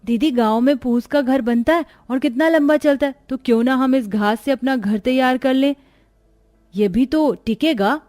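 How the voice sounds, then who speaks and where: An innocent little orphan girl , voiced by a woman in a cartoon tale. Her voice is very high and childlike, that of a wide-eyed younger sister. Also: normal speed, a little mellow, soft background music, natural voice. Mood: curious. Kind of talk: cartoon story dialogue.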